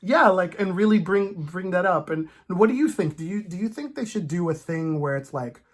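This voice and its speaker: A man chatting casually about films as a host, in an animated, rambling fan's way. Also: high voice, normal speed.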